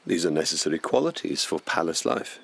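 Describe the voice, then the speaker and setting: A man acting a reserved, dignified palace lord in a radio drama, gravelly and formal in short replies. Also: extremely low pitch, lively intonation, fairly quick, a bit bright, full character voice. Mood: reserved, amused.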